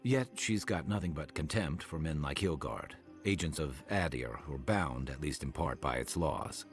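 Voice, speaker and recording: A man acting a level-headed adventuring companion in a video game, speaking in a measured, calm manner. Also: a bass voice, faint music behind. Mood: measured concern. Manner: measured, weighing risks calmly.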